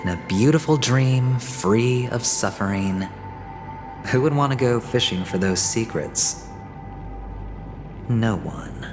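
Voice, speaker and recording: A man voicing a suave, sly young gambler in a video game cutscene, with smooth, theatrical, sardonic delivery. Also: medium pitch, slow, long pauses, lightly acted. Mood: sly, reflective. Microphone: close studio voice recording. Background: game music.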